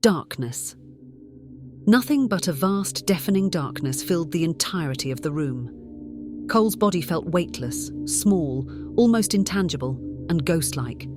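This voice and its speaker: A woman's voice: on the low side, animated, unhurried. A woman reading scripted fiction as a dramatic audiobook narrator. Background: music.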